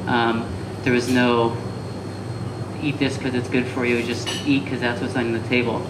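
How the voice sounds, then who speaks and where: Young male chef, guest at a cafe talk, loose rambling delivery, roomy echo, steady noise behind. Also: mid-range voice, moderate pace, distant mic. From Connecticut, USA. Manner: relaxed and rambling, with many fillers. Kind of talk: casual interview answers. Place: live talk event in a cafe. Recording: echoey room with background noise.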